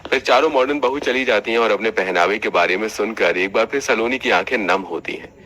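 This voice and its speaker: A man's voice: fairly low pitch, brisk, sharp tone. A man delivering scripted story narration for a cartoon family tale.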